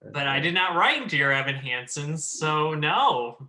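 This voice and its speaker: A man's voice: fairly high pitch, big pitch swings, measured pace, bright, forceful tone, rough recording. A man in a casual group discussion, talking in a wry, emphatic way as a host.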